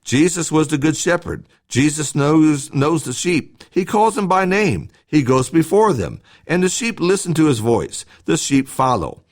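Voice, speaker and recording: A man reads a scripted devotional talk as a church podcast host, in a measured, instructive way with short emphatic phrases. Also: fairly high pitch, big pitch swings.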